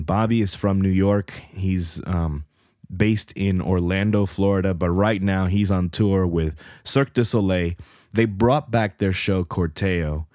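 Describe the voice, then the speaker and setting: A man hosting his own podcast, talking casually with a hoarse voice, rambling with lots of fillers and self-corrections. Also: low voice, a little mellow, dry recording, studio quality. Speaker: podcast host. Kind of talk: casual solo podcast intro.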